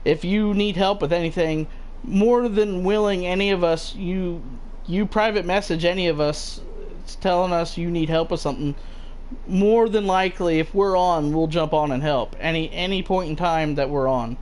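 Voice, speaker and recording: A man's voice: high-pitched, normal speed, a little mellow, low-quality recording. A man with a mild Southern US accent chatting casually about a game as host, rambling with many fillers and restarts. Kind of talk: casual gaming chat.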